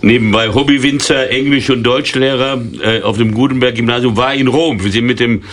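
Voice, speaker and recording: A man's voice: mid-range voice, steady tone, fairly quick, a bit bright. Wry retired football commentator in his sixties. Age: 60s. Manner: anecdotal, wry, many fillers and restarts. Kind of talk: casual radio interview chat.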